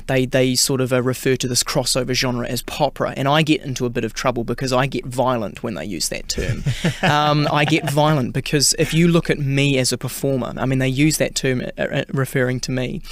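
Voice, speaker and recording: A man's voice: mid-range voice, no room sound. Kiwi guy chatting on radio, lots of ums, dead dry sound. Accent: New Zealand. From New Zealand. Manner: chatty, many fillers and restarts. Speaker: singer, interview guest. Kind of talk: casual radio interview.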